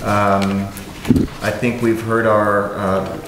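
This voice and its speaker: A man chairing a town board meeting, calling on speakers and keeping order deliberately. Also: fairly low pitch, level tone. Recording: very echoey hall, clear background noise.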